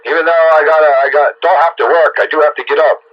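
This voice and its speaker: A man's voice: on the high side, steady tone, quick pace. A man having a casual chat as a radio operator, heard over a muffled two-way radio transmission. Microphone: radio set microphone heard over air.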